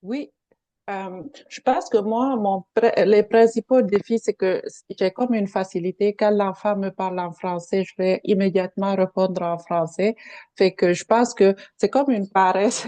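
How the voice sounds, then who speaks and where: Mom on a video call, native tongue not french, mellow voice, thinks out loud, dry sound. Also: female voice, medium pitch, no room sound. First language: a language other than French or English. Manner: reflective, hesitant, restarts her sentences. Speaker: parent participant. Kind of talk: sharing experience in a webinar.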